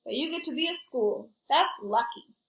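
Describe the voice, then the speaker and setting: A woman's voice: high-pitched, expressive, moderate pace, creaky, fairly bright tone, roomy sound, pitched above the performer's own voice, heavily acted, poor audio. A woman voicing a kindly male school janitor in a plain, reassuring manner for a children's storybook. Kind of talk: children's storybook read-aloud.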